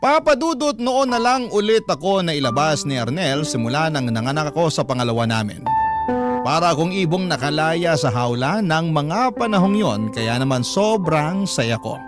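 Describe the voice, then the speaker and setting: A man hosting on radio, reading a listener's letter as measured, sentimental first-person narration. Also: on the high side, expressive. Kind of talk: dramatic first-person storytelling, scripted narration. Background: prominent music bed.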